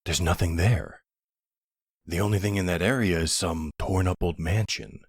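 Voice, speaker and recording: A man playing a supportive, puzzled boyfriend in an intimate audio roleplay, speaking in a hesitant, gently questioning way with a husky voice. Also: low-pitched, fairly even intonation, normal speed, dry recording, studio quality. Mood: determined, uneasy.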